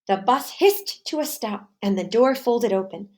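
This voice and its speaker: A woman's voice: fairly high pitch, animated, unhurried, a little hiss or hum. A woman reading a children's storybook aloud expressively, in an instructive manner for young listeners. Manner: asks listeners simple questions, instructive.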